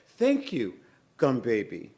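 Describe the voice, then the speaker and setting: A man voicing a prim, short-tempered woman with fussy, overly polite manners who is quickly exasperated. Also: mid-range voice, huge pitch swings, gravelly, warm tone, full character voice.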